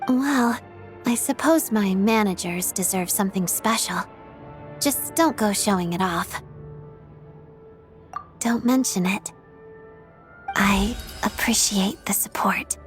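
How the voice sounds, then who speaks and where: Poised young female star acted by a woman, mid pitch, cool, measured, reserved, understated, video game, faint music. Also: natural voice. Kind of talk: video game cutscene acting.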